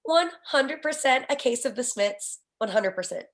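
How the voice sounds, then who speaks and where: High-voiced woman reading stage directions. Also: animated, normal speed. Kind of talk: scripted screenplay narration at a table read.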